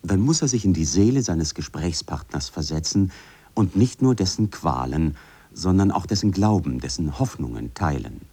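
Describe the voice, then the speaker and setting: Male reader, dark low voice, slightly rough, formal scripted delivery. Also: lively intonation, moderate pace. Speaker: reader of quoted texts.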